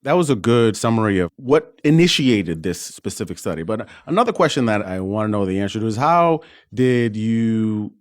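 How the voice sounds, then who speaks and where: A man's voice: on the low side, very animated, normal speed, few pauses, dry recording, clean studio sound. A man hosting a medical podcast, introducing and questioning guests in an interview style. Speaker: podcast host.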